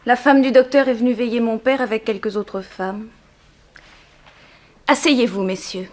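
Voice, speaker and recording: A woman's voice: a little high, steady tone, a little slow, lightly acted. An actress playing a grave, formal, composed young woman in mourning in a 1960s French radio drama. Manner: formal, composed, pointed questioning.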